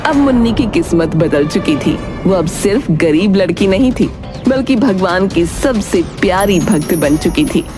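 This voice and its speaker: Woman storyteller over clear background music. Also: lowish voice, expressive, unhurried. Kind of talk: scripted children's story narration. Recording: music behind narration.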